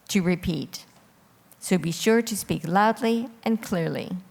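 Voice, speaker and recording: A woman with a lowish, slightly creaky voice hosts a formal quiz competition. She speaks in an orderly, instructional way, and her voice rings through a large reverberant hall. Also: darkish tone. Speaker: quiz host reading rules and judging calls. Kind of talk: formal event moderation. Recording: echoey hall with long reverb.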